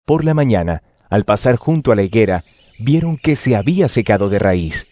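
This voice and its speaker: A man's voice: fairly low pitch, very animated, moderate pace, mellow, dry recording, studio quality. A man narrating dramatized scripture in a scripted audio production. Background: music.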